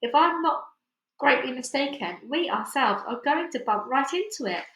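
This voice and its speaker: Storybook centipede played by a woman: cynical and blunt male bug, raised pitch, roomy echo. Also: lively intonation, moderate pace, a bit bright. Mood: sharp. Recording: echoey room.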